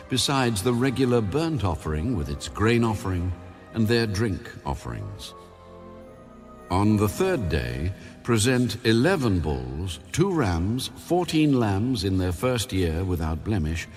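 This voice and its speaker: Low-voiced man narrating scripture over music. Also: darkish tone. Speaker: audio Bible narrator.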